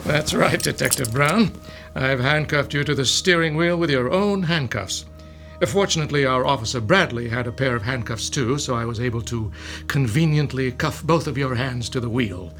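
A man playing a gloating, smug mad scientist villain in a radio drama, with soft laughs. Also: medium pitch, moderate pace, creaky, soft background music.

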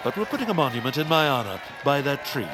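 An actor playing a pompous, grumpy villainous baron who grudgingly softens, in a comic audio drama. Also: male voice, medium pitch, sing-song, quick pace, over a noisy crowd, heavy background noise, lightly acted. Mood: grandly grudging. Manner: pompous, dismissive, theatrical. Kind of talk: comic audio fiction acting.

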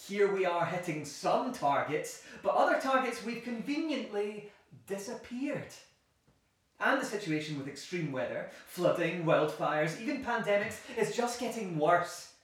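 An actress plays a sceptical, disillusioned woman with radical ideas in a radio play. Her delivery is intense and naturalistic, at a middling pitch. She sounds far from the mic in a heavily echoing room. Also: natural voice. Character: disillusioned, radical-minded woman, former city council planner. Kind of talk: naturalistic audio play acting. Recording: very echoey room, distant mic.

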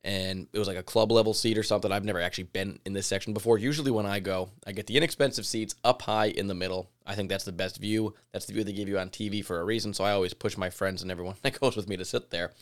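Man, podcast host, low voice, a bit raspy, chatty, casual. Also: steady tone, fast, hardly any pauses. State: slightly raspy from yelling at a game. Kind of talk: solo sports podcast talk.

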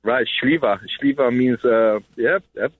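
A man's voice: medium pitch, unhurried. A man with a Polish accent calling into a radio show, speaking hesitantly with many fillers. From Poland. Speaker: caller. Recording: tinny phone line.

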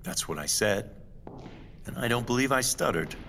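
An actor playing an imperious, coldly menacing wealthy client in an audio drama, speaking in a formal, clipped, condescending way. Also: male voice, medium pitch, animated, moderate pace, creaky, a bit bright. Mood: cold menace. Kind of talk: audio fiction acting. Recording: long echoing hall, faint noise.

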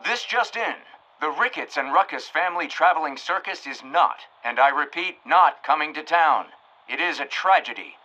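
An actor playing a comically sad, melodramatic small-town news announcer with emphatic bulletin delivery in an audio drama. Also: male voice, fairly high pitch, expressive, normal speed, sharp tone, dull audio. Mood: solemn, urgent.

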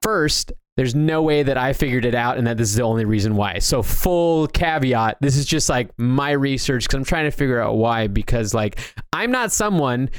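A man's voice: mid-range voice, animated, smooth, no room sound. Man, podcast co-host, casual discussion, rambling delivery, frequent restarts, dry sound. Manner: rambling, halting, restarts thoughts often. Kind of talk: casual discussion of movies and games.

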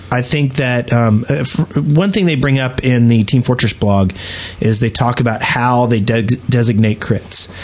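Halting, explanatory man chatting casually. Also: mid-range voice. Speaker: podcast co-host. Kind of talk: casual gaming podcast chat.

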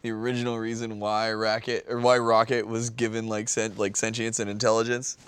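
A man's voice: on the low side, little pitch movement, brisk, smooth, no room sound. A man on a podcast panel telling stories casually, with slangy, sweary wording and lots of "like". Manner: slangy, sweary, heavy use of "like". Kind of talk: casual, informal storytelling chat.

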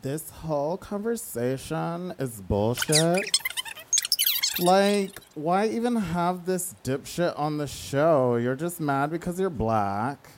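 A man's voice: a little high, lively intonation, slow pace. An actor playing a hostile, insulting male radio caller, blunt and scornful, on noisy caller audio. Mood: contemptuous. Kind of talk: radio play acting.

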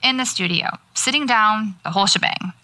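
A woman's voice: mid-range voice, a little fast, bright, forceful tone, no room sound, natural voice. An actress playing a dry, sardonic, preoccupied woman novelist in an audio drama. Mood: matter-of-fact. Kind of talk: naturalistic audio drama dialogue.

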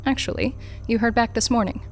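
An actress playing a calm, officious corporate interviewer in an audio drama, speaking formally and with composure. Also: female voice, on the high side, brisk, faint music behind, lightly acted. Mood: calm, measured.

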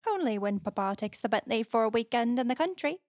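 A woman's voice: on the high side, animated, fast talker, darkish tone, dry recording, clean studio sound, lightly acted. A woman playing a sarcastic, bossy young woman on the run in a naturalistic audio drama. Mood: snippy. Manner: snippy, exasperated.

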